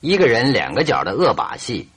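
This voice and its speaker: An actor playing a shrewd, confident detective in a radio drama, reasoning aloud in a theatrical, emphatic and deliberate way. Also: male voice, medium pitch, huge pitch swings, a bit bright, a little hiss or hum. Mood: confident, accusing. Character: shrewd, confident male detective, stern yet teasing. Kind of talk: Chinese radio drama acting, expository monologue.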